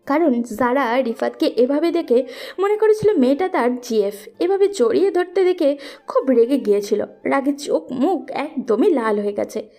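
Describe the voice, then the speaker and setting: A woman's voice: sits high, expressive, moderate pace, dark tone. A woman narrating a scripted romantic story.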